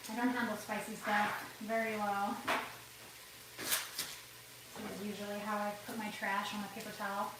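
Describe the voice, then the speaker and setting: A woman's voice: mid-range voice, level tone, a little slow, long pauses. Chatty woman cooking in an echoey kitchen. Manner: chatty, rambling, laughs often, sniffles. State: runny nose, sniffling. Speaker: cooking livestream host. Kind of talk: casual cooking livestream chat. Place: home kitchen. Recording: echoey kitchen, far from mic, background noise.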